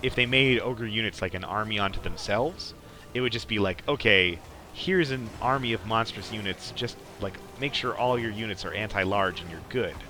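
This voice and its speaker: A man's voice: lowish voice, normal speed, pushed and bright, slight background noise. A young man giving casual, rambling gameplay commentary as a podcast host, often saying "like". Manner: rambling, peppered with "like". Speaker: podcast host playing a strategy game.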